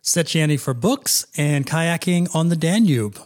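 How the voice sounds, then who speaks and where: A man hosts an interview podcast. His voice sits a little high for a man. He asks his questions in an upbeat, light way, with quick joking asides. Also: animated. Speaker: podcast host.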